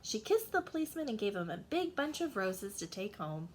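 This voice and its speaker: A woman's voice: mid-range voice, very animated, a little fast, noisy background. A woman narrating a children's storybook as a teacher reading to young listeners.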